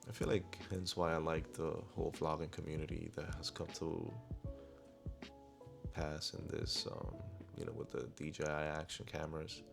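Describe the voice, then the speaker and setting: A man with a deep voice narrating his own vlog in a laid-back, rambling voiceover. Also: level tone, normal speed, gravelly, music in the background. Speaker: vlogger. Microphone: clip-on wireless mic.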